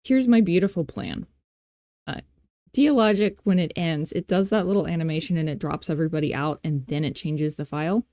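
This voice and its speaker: A woman with a fairly low, mellow voice talks through a screen-recorded tutorial. She is informal and playful, thinking aloud with self-deprecating asides. The sound is very dry and close. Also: brisk, no room sound. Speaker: tutorial host.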